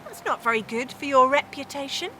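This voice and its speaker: Woman voicing a cunning storybook prioress, coaxing, mock-sympathetic, high and bright, faint noise behind. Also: lively intonation, fairly quick, poor audio. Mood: mock-sympathetic, calculating. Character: cunning, politely manipulative medieval prioress. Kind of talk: storybook character in oral storytelling.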